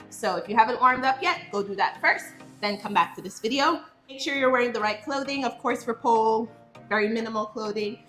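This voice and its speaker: A young woman gives pole dance safety tips in an upbeat, playful way. Her voice is bright and a bit high. Faint music plays in the background. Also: reverberant. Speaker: pole dance instructor, co-host. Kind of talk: casual tutorial instruction.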